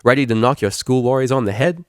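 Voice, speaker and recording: A man's voice: mid-range voice, animated, quick pace, clear, resonant tone, dry recording, clean studio sound, voice pushed up, close to an everyday voice. Man acting a breezy, emphatic stallion counselor, raised voice. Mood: beaming. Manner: casual, emphatic. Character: breezy male pony tutor and counselor, earnest, defensive.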